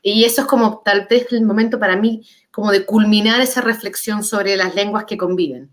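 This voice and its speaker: A woman's voice: medium pitch, fairly even intonation, fairly quick. A Chilean woman speaking as an author on a panel, thoughtful and searching, building her ideas through paired and repeated words. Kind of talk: reflective panel discussion.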